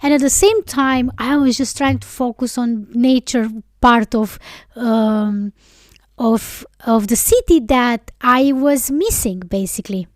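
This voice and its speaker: Slow-talking woman podcaster. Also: on the high side, darkish tone, no echo. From Romania. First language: Romanian. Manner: reflective, rambling, self-correcting. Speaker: podcast host. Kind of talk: casual solo podcast reflection.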